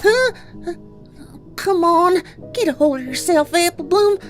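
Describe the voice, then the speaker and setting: Brief: a woman doing a squeaky, jumpy little country pony girl, voice bouncing all over, drawling. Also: lively intonation, a little slow, soft background music. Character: young farm filly, nervous and spunky.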